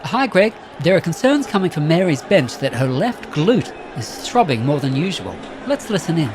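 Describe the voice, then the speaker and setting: A woman's voice: sits low, very animated, normal speed, a crowd in the background. A woman playing an earnest ringside reporter in a mock sports broadcast. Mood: concerned, hushed urgency. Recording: noisy outdoor ambience behind.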